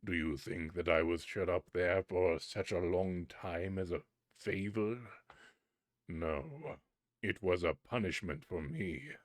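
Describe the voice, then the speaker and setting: A man puts on a towering spirit from a storybook, his voice low and booming with menace. He speaks slowly, every word a threat. The sound is dry and close. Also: no echo. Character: huge terrible male spirit.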